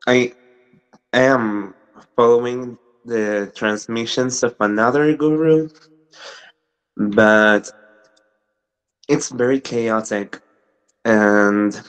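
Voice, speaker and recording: Young Argentine man on a webcam call, slow and halting, Spanish-accented English. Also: mid-range voice, low-quality recording. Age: young adult. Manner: hesitant, restarts, gestures while talking. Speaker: caller asking a question. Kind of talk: hesitant personal question. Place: small room with brick wall, indoors. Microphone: video call webcam.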